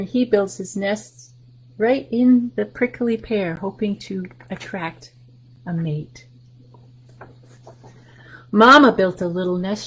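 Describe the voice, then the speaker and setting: A woman reads a rhyming picture book to young children at a library story time, stopping to talk about each picture. Her voice is gentle and a little breathy, with a middling pitch. She often sinks into a soft whisper. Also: poor audio.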